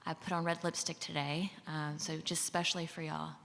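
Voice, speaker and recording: A woman from Mississippi with a Southern accent, a government official giving a relaxed, friendly conference talk with fillers. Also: deep voice, normal speed, creaky. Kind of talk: conference presentation. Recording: long hall reverb.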